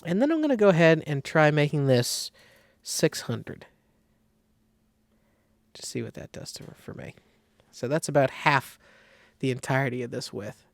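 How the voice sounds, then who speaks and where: A man with a noticeably creaky, gravelly voice walks through a design tutorial in an informal way. He thinks aloud as he works, in an easy conversational style. The sound is close and dry, with very little room around it. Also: fairly high pitch, lively intonation, moderate pace, pauses often, dry recording. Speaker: design software tutorial host. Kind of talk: casual screen-recorded tutorial.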